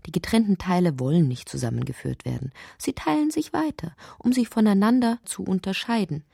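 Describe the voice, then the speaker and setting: A woman narrating a poetic, absurd literary radio drama in deliberate, measured phrases. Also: mid-range voice, animated, gravelly, warm tone, slightly rough, dry recording, clean studio sound. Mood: musing.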